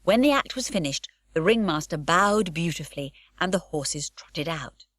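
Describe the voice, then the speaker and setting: Expressive woman reading a children's story. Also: fairly low pitch, moderate pace, no echo, clean studio sound. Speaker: audiobook narrator. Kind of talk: scripted storybook narration.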